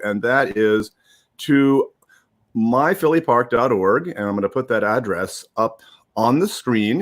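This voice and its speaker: An American man hosting an informal live broadcast, speaking haltingly and repeating words as he gives announcements. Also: on the low side. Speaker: host.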